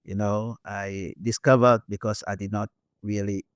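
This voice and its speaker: A man from Liberia, an author being interviewed, speaking thoughtfully with frequent pauses and fillers. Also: lowish voice, level tone, no echo. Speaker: author guest. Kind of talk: reflective interview talk.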